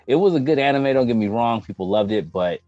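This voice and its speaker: A man chatting casually as a gaming show host, slangy and opinionated, given to excitable interjections. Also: medium pitch, fast talker. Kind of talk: casual opinionated chat about video game movies. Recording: dull, echoey room, faint music behind.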